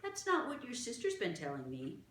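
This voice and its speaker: A woman voicing a mild, gentle small old gentleman who speaks softly and calmly. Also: mid-range voice, moderate pace, slight background noise, voice pushed up. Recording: roomy echo.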